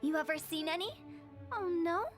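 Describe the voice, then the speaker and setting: A woman's voice: very high-pitched, normal speed, fairly bright tone, soft background music. A woman voicing a mischievous young female funeral director in a video game, teasing and fond of sing-song rhymes. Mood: playful. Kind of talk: game character voice lines.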